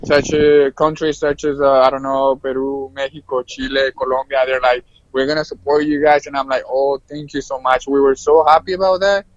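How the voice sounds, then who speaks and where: A man's voice: medium pitch, fairly even intonation, normal speed, hardly any pauses. A man chatting casually as a podcast guest, telling things informally and repeating himself for emphasis. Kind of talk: casual chat. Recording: dull lo-fi, dry close sound.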